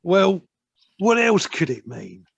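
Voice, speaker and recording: A man playing a menacing, mocking weasel gang boss in an amateur audio play, taunting in a creaky, drawn-out voice. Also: high voice, very animated, no room sound, lo-fi sound, heavily acted. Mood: gloating menace.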